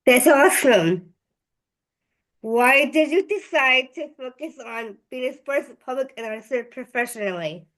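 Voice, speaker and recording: A woman's voice: sits high, expressive, a little slow, a bit bright. A female interviewer hosting over a video call and asking prepared questions. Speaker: interviewer, show host.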